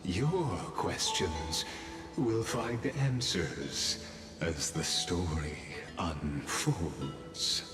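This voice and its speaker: A poised, enigmatic storyteller from a fantasy game, deep-voiced and unhurried. He guides listeners with theatrical, teasing poise, his words trailing into long reverb. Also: lively intonation, heavily acted. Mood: teasing, mysterious. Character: poised, enigmatic male storyteller guiding visitors through memories. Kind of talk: dramatic game dialogue narration. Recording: echoey long reverb, music behind.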